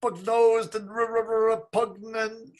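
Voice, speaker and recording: A man's voice: high-pitched, flat delivery, unhurried. An actor playing a meek, grovelling middle-aged man, pleading and theatrical, in a dramatic reading over a video call. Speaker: stage actor.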